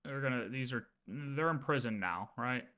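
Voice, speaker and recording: A man with a bright, forceful voice talks like a casual game streamer walking chat through lore. He is animated and informal, repeating himself and tossing in quick check-ins as he explains. His pitch sits in an ordinary male range. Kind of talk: casual livestream lore explanation, chatting with viewers.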